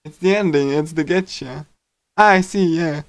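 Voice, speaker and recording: A man with a fairly high, clean voice chats casually as a livestreamer. His delivery is hesitant and wandering, full of filler words, and the sound is close and dry. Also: moderate pace, no echo. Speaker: livestreamer reading a visual novel. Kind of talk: casual rambling stream chat.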